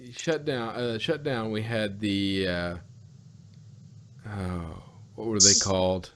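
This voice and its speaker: Man chatting in a halting, rambling way. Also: on the low side, fairly even intonation, fairly bright tone, slight background noise. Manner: halting, rambling, repeats words. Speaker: podcast co-host reviewing audio dramas. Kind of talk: casual review chat.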